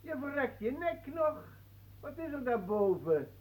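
A man's voice: extremely high pitch, big pitch swings, measured pace, darkish tone, exaggerated voice, poor audio. An actor playing a vague, forgetful, grumbling man in a radio drama, muttering offhandedly.

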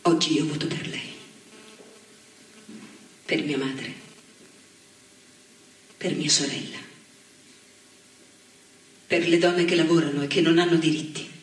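A woman acting in a theatre monologue, playing a grown woman who was raised by her seamstress mother, speaking softly and reflectively. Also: low voice, slight background noise. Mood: resolute. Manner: reflective storytelling, rising to firm declaration. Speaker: stage actress. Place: theatre stage. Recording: echoey stage recording from far off.

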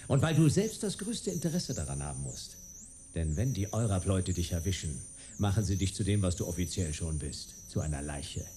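A hard-boiled sci-fi detective in a radio play. He is dry and terse, with a lowish voice that moves around a lot. There is noticeable noise behind him. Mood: commanding. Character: laconic, tough male sci-fi private detective. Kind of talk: radio drama dialogue and first-person narration.

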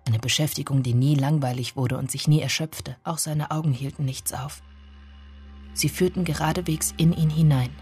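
An actress playing a woman who remembers her lost lover, narrating a literary radio drama in a hushed, deliberate, intimate way. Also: sits low, fairly even intonation, soft background music, natural voice. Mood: tense, haunted. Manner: hushed, deliberate, often breathy.

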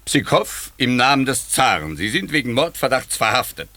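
A stern, irritable examining magistrate in a 1950s radio play. He questions with curt authority in a forceful, dry-sounding voice whose pitch leaps around widely. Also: big pitch swings, normal speed, no room sound. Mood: stern, official.

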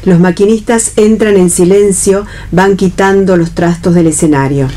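A woman's voice: lowish voice, fairly even intonation, normal speed, mellow. A woman reading stage directions as narrator of a radio play. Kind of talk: read narration for radio theatre.

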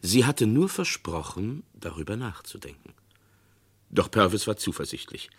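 A man giving measured, dramatic narration of a radio crime play. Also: fairly low pitch, big pitch swings, husky.

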